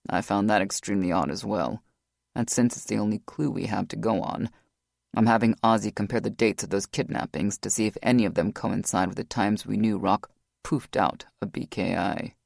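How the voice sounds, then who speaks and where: A woman narrator voicing a tough male team boss who speaks bluntly and low. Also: fairly even intonation, moderate pace, vocal fry, darkish tone, no room sound, studio quality, exaggerated voice. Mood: grim. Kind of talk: audiobook character voice.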